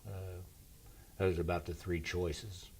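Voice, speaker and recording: An older man from Indiana speaks in a very deep, low voice that barely rises or falls. He talks slowly and in a measured way, pausing to recall things as he answers interview questions about his past. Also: level tone, a little mellow, slight background noise. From Indiana, USA. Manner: slow and measured, pauses to recall. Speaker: interview guest, military veteran. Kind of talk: relaxed reminiscing interview.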